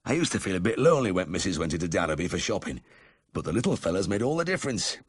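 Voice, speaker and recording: A man voicing a bedridden, cheerful ex-miner with a husky South Yorkshire accent in a story reading. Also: low voice, very animated, fast, dry recording, studio quality, exaggerated voice. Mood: fond, chatty. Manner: plain-spoken dialect, warm and uncomplaining.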